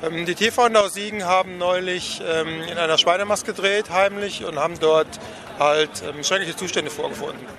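A man giving an earnest, measured explanation as an activist in an outdoor interview. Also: high voice, bright, forceful tone. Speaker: animal rights activist being interviewed. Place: outdoors at a demonstration stand. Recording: outdoor street recording with crowd noise.

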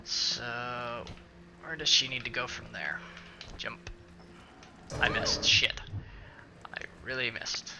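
A man's voice: medium pitch, takes it slowly, long pauses, noisy background. A man giving casual let's-play commentary, muttering to himself and swearing mildly as he plays. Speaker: gaming video host.

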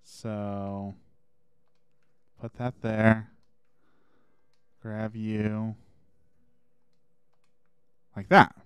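A man talks his way through a game on stream, rambling and thinking aloud. His voice sits a little low and stays smooth even though it is slightly hoarse. The sound is dry and close, with no room echo. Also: drawn-out words, pauses often. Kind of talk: casual gameplay commentary.

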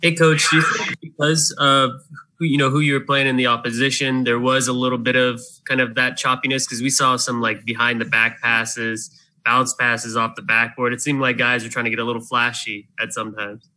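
A man's voice: medium pitch, level tone, moderate pace, bright, forceful tone, low-quality recording. A man working as a sports reporter, asking a question at a press conference.

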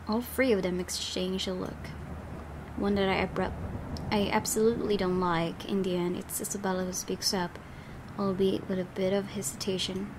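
A woman reads horror game narration aloud as a let's play host. Her voice is medium in pitch and her delivery is storylike, with casual asides. Loud ambience runs behind her. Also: little pitch movement, normal speed, background noise.

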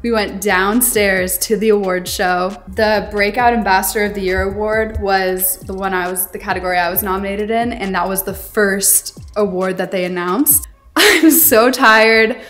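A woman's voice: medium pitch, fairly even intonation, normal speed, fairly bright tone. Young american woman doing a casual house tour vlog, chatty and giggly, echoey room w music under it. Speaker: vlogger. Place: inside a bright open-plan home. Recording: roomy echo, music underneath.

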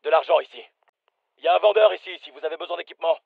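An actor playing a brisk special forces soldier, giving clipped, commanding combat callouts for a dubbed military game. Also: male voice, a little high, vocal fry, no room sound, phone-quality sound. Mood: brisk, focused.

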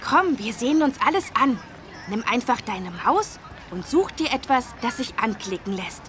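A woman's voice: fairly high pitch, sing-song, moderate pace, a bit bright, a little hiss or hum. A woman voicing an eager, helpful young schoolboy who explains things in a children's learning game. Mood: inviting, helpful.

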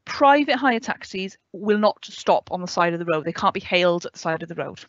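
Welsh woman in her 30s on a headset call, dry dull sound, explains things clearly, keeps saying okay. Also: on the low side, animated, brisk, dry recording. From West Wales. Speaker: student adviser. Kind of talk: online orientation talk with questions. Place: home room with a window.

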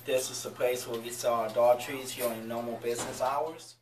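A young man presenting in a small store, speaking in a plain, even way. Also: mid-range voice, moderate pace. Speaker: dog treat program staff. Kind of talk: scripted on-camera presentation. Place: small convenience store and kitchen, indoors. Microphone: far camera mic. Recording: distant camera mic with clear background music.

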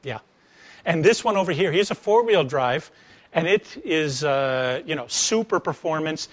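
A middle-aged man with an American accent delivers an informal talk. His voice sits a bit higher than most men's, and he explains through animated anecdotes, often stopping to pose a rhetorical question. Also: measured pace. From United States. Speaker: speaker giving a talk, former engineer turned sales trainer. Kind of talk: conversational public lecture with acted-out anecdotes. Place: attic seminar room with wooden floor. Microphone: lapel mic.

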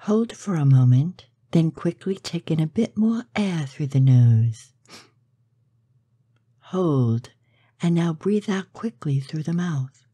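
A woman narrating a scripted guided meditation in a soothing, measured, breathy way, with soft delivery and pauses. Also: sits low, expressive, dry recording.

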